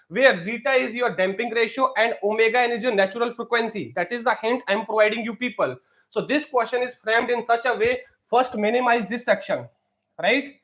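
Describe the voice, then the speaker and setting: A man's voice: very high voice, a bit bright. A man teaching a live-streamed exam prep lesson, leaning on fillers and repeatedly asking viewers to comment.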